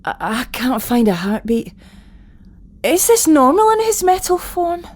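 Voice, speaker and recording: An actress voices a caring, anxious woman medic in an audio drama. Her voice is high and very animated, full of worried questioning. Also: unhurried.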